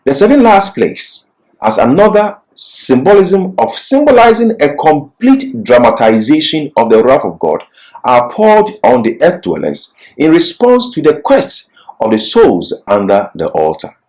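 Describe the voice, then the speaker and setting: A man's voice: on the high side, huge pitch swings, measured pace, a little mellow, low-quality recording. A man giving an expository Bible lecture as a teacher, didactic and emphatic, posing questions and answering them himself.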